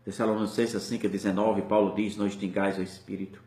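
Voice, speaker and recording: A man's voice: lowish voice, level tone, fast, warm tone. Male preacher, Brazilian Portuguese accent, fervent swelling delivery, echoey church sound. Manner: impassioned, rising and falling preaching. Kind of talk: sermon.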